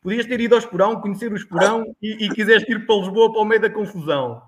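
A man's voice: high voice, very fast. A man in his forties with a European Portuguese accent, a guest telling his story in a casual chat, rambling with many restarts. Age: 40s.

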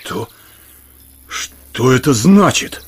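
A man's voice: medium pitch, expressive, very slow, background noise. An actor playing a blustering, flustered bishop, sputtering his lines in a radio play.

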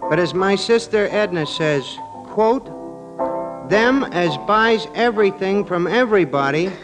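An actor playing a good-natured, slow-witted, folksy bartender who rambles ungrammatically in a 1940s radio drama. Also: male voice, high-pitched, animated. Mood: folksy chatter. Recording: old radio recording, organ music.

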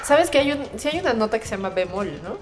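A woman's voice: on the high side, very animated, fast, soft background music. A middle-aged woman with a Mexican accent, co-hosting a casual podcast chat, playful and laughing often. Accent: Mexican Spanish. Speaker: podcast co-host, architect.

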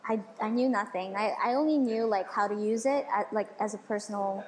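A woman's voice: mid-range voice, a little mellow, rough recording. A woman in her twenties, from the Philippines and raised in the Middle East, chatting as a podcast guest, full of likes and restarts. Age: 20s. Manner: chatty, with many filler likes and quick restarts. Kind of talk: casual interview conversation.

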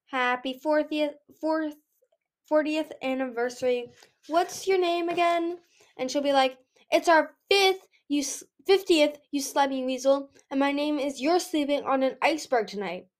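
A woman's voice: sits high, voice pushed up, natural voice. A woman voicing a bubbly, rebellious young female dragon in a storybook, teasing, exclamatory and dramatic.

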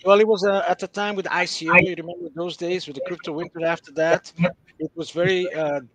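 A man's voice: sits high, steady tone, a little fast, a bit bright, low-quality recording. A middle-aged man from Belgium, a guest on an interview, talking casually and hesitantly with many fillers over a video call.

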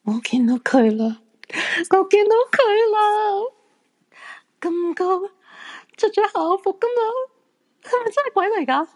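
An actress playing an eager, self-assured crystal fortune-teller thrilled by ghosts, in a Hong Kong Cantonese radio drama. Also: female voice, extremely high pitch, measured pace, long pauses. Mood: excited, eager. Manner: eager, friendly, animated.

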